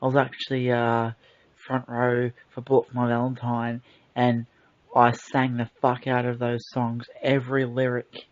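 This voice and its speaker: A man's voice: medium pitch, completely flat delivery, unhurried. A young Australian man co-hosting a casual podcast, telling stories loosely with swearing and fillers. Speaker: podcast co-host. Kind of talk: casual chat. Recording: dull lo-fi sound.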